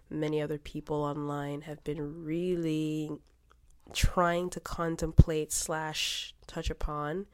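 A woman's voice: low-pitched, darkish tone. A young woman hosting a casual solo podcast, congested from a cold, rambling with many fillers and restarts. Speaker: podcast host.

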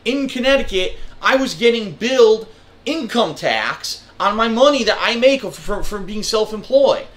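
Guy with a high bright nasal voice, stuffed-up, griping and swearing a lot. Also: lively intonation, measured pace. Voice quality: nasal, congested. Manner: blunt, profane, complaining. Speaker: vlogger. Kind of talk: ranting monologue clip.

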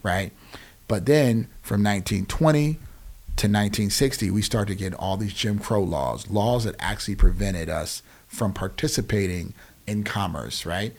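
A man's voice: fairly low pitch, moderate pace, gravelly. An American man in his forties explaining things conversationally as an interview guest, emphatically and often checking that the listener follows. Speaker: podcast guest, nonprofit founder.

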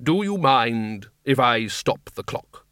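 A man voicing a grave male stranger who speaks slowly and formally. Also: medium pitch, very animated, fairly bright tone, smooth, no room sound, studio quality. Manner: slow, formal, measured. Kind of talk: audiobook character voice.

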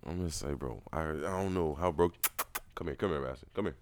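Podcast co-host, young man, raspy low voice with lots of vocal fry, loose banter. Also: brisk, darkish tone. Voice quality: raspy, deep. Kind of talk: casual banter.

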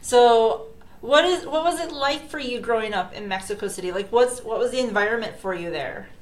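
A woman's voice: fairly high pitch, a little fast. A woman hosting an interview podcast, asking questions and giving short, encouraging replies. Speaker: podcast host. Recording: echoey room, faint noise.

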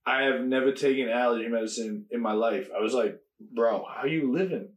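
A young man co-hosting a podcast, telling stories in casual chat, quick and animated and swearing freely. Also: medium pitch. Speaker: podcast co-host. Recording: echoey room.

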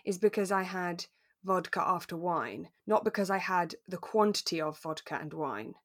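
A woman's voice: lowish voice, normal speed. A woman co-hosting a podcast in casual, conversational chat, often acting out little imagined remarks. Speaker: podcast co-host.

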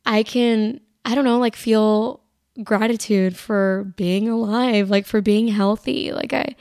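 A young woman with a raspy voice hosting her own podcast, chatting casually and rambling with plenty of "like", tired as she recovers from being sick. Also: on the high side, steady tone, slow pace, a little mellow, no room sound, studio quality. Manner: rambling, filler-heavy, lots of "like". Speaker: podcast host. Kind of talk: casual solo podcast chat.

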